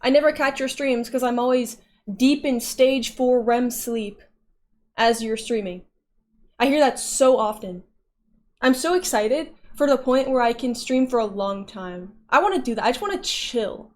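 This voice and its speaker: A woman's voice: a little high, a little slow, a little mellow. A woman streamer chatting casually with her viewers, playful and often laughing as she reads chat messages aloud.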